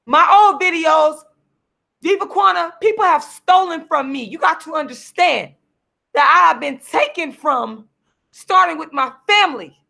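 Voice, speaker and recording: Hoarse, gravelly-voiced woman ranting heatedly. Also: very high-pitched, expressive, measured pace. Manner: heated, repetitive, preachy, now and then shouting. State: losing her voice, hoarse. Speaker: vlogger and activist. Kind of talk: impassioned direct-to-camera rant. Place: seated at home signing books.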